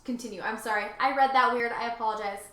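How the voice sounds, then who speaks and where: A woman's voice: fairly high pitch, steady tone, fast talker, a bit bright. A woman chatting casually and reading aloud as a co-host, informal and conversational. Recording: echoey room with faint noise.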